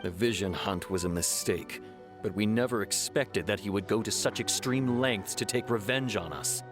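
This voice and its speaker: A man playing a measured city official. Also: on the low side, natural voice. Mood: formal. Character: formal male city official on a theft case. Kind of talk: video game voice acting. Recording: game music underneath.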